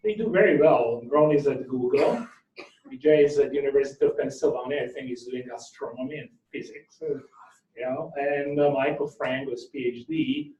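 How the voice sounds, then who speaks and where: A middle-aged man with a Slovak accent speaks as an academic at an informal conference. His pitch is average, and he is picked up from a distance in a reverberant room. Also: normal speed, a little mellow. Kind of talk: informal conference talk with reminiscences. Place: indoor lecture room at a workshop. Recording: echoey room, distant microphone.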